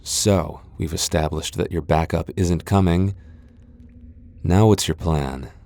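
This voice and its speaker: A man's voice: low-pitched, steady tone, slow pace, dark tone. A man playing a proud, sardonic vampire lord in a fantasy ASMR roleplay, with a dry, sighing delivery. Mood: dry, disdainful. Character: proud, sardonic male vampire lord, cornered yet defiant. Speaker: voice actor in a roleplay audio.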